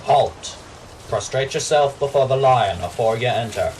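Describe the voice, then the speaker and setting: A man's voice: mid-range voice, lively intonation, a little slow, darkish tone, slight background noise, close to an everyday voice. Man as a stern knight gatekeeper, slightly distant. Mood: commanding. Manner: firm, formal, proclaiming. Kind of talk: fantasy radio drama acting.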